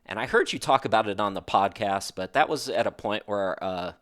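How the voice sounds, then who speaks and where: A man's voice: on the low side, expressive, fairly quick, a bit bright. A man hosting a podcast, chatting casually and wandering from one thought to the next. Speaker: podcast host.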